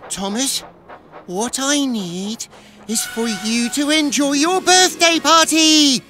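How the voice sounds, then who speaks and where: A woman's voice: sits high, takes it slowly, loud music behind the voice. A woman voicing a friendly, earnest boy steam engine in a children's cartoon, emphatic and easily flustered. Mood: urgent, friendly.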